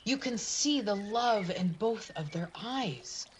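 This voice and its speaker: A woman's voice: mid-range voice, lively intonation, slow pace, slight background noise. A woman narrating a scripted storybook tale for a puppet show in a dramatic fairy-tale manner.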